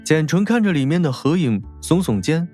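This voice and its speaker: Animated male audiobook narrator. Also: a little high, moderate pace. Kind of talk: scripted dramatic narration of a novel. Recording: faint music behind.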